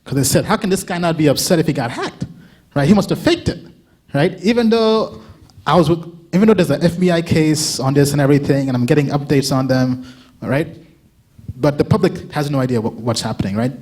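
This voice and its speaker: A young man giving a casual conference talk, often checking in with a quick "right?" and restarting his sentences. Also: a little high, a little mellow. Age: young adult. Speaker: crypto analyst giving a talk.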